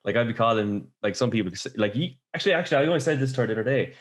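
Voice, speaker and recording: An Irish man from Limerick, a podcast guest telling stories in casual chat, talking fast and laughing often. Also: mid-range voice, animated. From Ireland. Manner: chatty, laughs often, fast storytelling with quoted asides.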